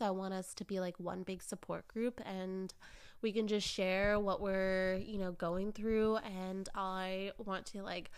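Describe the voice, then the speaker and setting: A woman's voice: medium pitch, smooth. A woman hosts a casual solo podcast in an informal, effusive way, slightly nasal and raw-throated from a cold. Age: early twenties. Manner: informal and effusive, peppered with "like" and "you know". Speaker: podcast host.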